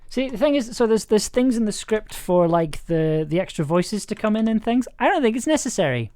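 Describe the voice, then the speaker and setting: A woman thinking aloud in casual livestream commentary as she works, sometimes muttering, sometimes playfully animated. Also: medium pitch, a little fast. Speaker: streamer editing an audio drama. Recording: keyboard clicks and typing noise. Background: computer typing and mouse clicks.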